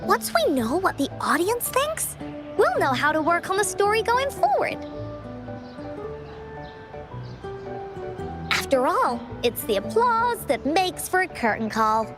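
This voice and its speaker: A cheerful little girl from a video game, chirpy and talking about herself by name. The voice sits very high and bounces up and down with lively swings. Game music plays clearly behind her. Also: moderate pace. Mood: eager. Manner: bubbly, refers to herself by name. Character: cheerful childlike girl who talks of herself in third person.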